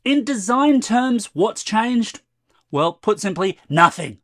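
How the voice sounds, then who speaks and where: A slightly hoarse man in his forties giving a chatty, wry tech review to camera from a room at home. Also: high-pitched, big pitch swings, takes it slowly, dry recording, studio quality. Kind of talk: casual product review to camera.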